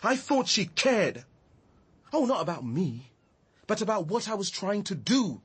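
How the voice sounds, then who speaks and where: Bitter, grieving Englishman, swooping husky voice. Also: high voice, sing-song, a little slow, full character voice. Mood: bitter, hurt. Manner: brooding, emotional. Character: bitter, grieving man, muddled and wounded. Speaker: actor in a radio drama. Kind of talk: naturalistic radio drama dialogue.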